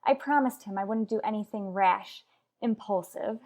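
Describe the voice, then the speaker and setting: A woman's voice: mid-range voice, normal speed, darkish tone, close to an everyday voice. A woman playing a headstrong married mother in a radio soap, lecturing her rival bluntly and emphatically. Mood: firm, exasperated. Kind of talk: radio soap opera acting.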